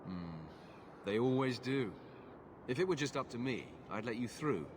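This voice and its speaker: An actor playing a weary, cynical guard at a gate in a video game. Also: male voice, mid-range voice, expressive, moderate pace, noisy background, close to an everyday voice. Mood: resigned, advising. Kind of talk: video game cutscene acting.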